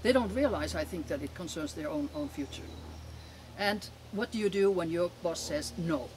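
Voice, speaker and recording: A woman in her seventies with a Finnish accent, giving emphatic, halting testimony as an interview guest outdoors. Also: fairly low pitch, lively intonation, a little mellow. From Finland. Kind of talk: conversational interview answers, personal testimony. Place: outdoors in a garden. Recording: clear outdoor background noise.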